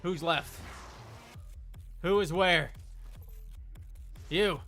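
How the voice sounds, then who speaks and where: A man's voice: a little high, very slow, bright, forceful tone, soft background music. A man giving casual, wry live commentary as he plays a video game, often muttering to himself. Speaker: game streamer.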